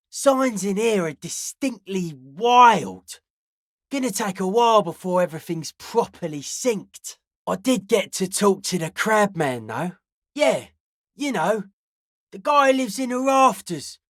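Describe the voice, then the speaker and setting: A woman's voice: mid-range voice, no room sound, studio quality. A woman voicing an earnest young male raver and amateur psychic in a video game, slangy, cryptic and matter-of-fact. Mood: earnest, insistent. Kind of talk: video game character dialogue.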